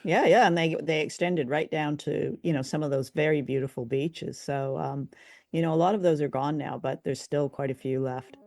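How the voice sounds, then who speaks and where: A woman's voice: low-pitched, fairly even intonation, normal speed, a little mellow, no echo, clean studio sound. A woman co-hosting a radio show, chatting casually and conversationally as she reviews drama.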